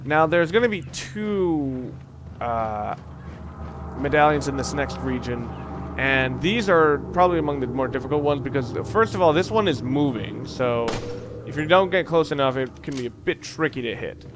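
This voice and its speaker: A man with a mid-pitched voice hosts a let's play in a casual, rambling way. He thinks aloud with halting phrasing as he comments on the game. Clear background noise sits behind his voice. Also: expressive.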